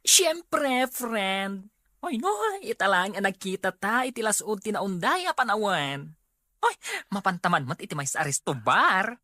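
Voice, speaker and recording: A woman's voice: medium pitch, big pitch swings, unhurried, sharp tone, no echo, studio quality, heavily acted. A woman with a Filipino accent playing a chatty, teasing, exclamatory friend in a radio drama. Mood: playful. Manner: exclamatory, playful banter. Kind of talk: Ilocano radio drama acting.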